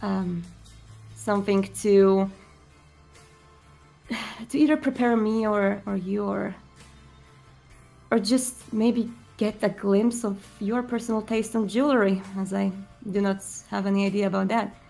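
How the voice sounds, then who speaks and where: Sad vampire prince, played by a woman, hesitant and wounded, halting speech with a creaky edge. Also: mid-range voice, fairly even intonation, warm tone, faint music behind. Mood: awkward tenderness.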